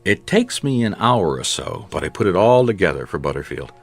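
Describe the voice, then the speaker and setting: An actor playing a wry, resourceful private detective in a noir radio drama, with a colloquial, hard-boiled way of talking. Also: male voice, deep voice, sing-song, brisk, gravelly, soft background music, exaggerated voice. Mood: intent narrating. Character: wry, resourceful male private detective narrating his case. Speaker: lead actor and narrator. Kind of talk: noir radio drama acting and first-person narration.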